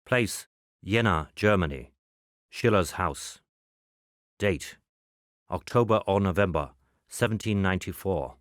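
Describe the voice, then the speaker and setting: Male narrator, low voice, dry close sound, formal and measured, clipped spy-file report. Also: no echo. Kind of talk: scripted spy-dossier documentary narration.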